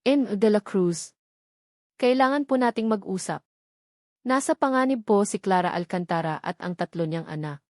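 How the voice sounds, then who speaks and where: A woman's voice: medium pitch, expressive, normal speed, darkish tone, no echo, clean studio sound, natural voice. A woman voicing a mysterious informant in a story, giving polite, grave and urgent warnings. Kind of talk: dramatic storybook dialogue.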